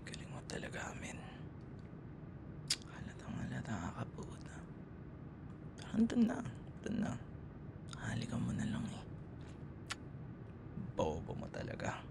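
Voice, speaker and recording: A man's voice: medium pitch, huge pitch swings, unhurried, creaky, long pauses, in a whisper, full character voice. A man with a Filipino accent acting a teasing young ex-boyfriend in a casual, intimate roleplay. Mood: whispered self-reproach.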